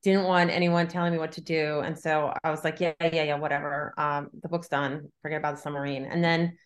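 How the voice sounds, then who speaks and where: Middle-aged woman, lowish voice, casual interview talk, thinking aloud, looping sentences. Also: level tone, fairly quick. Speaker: novelist being interviewed. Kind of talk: casual conversational interview about writing.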